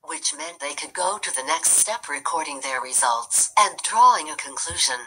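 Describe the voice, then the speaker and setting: A woman's voice: low voice, lively intonation, normal speed, bright, forceful tone, husky, poor audio. A synthetic female computer voice reading written text aloud. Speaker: text-to-speech reader. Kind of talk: read-aloud of written article.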